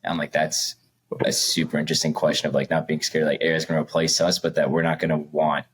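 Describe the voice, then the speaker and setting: A man's voice: extremely low pitch, little pitch movement, fast, slight background noise. A young man co-hosting a podcast, rambling casually with lots of fillers and laughs between points. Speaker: podcast co-host. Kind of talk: casual chat.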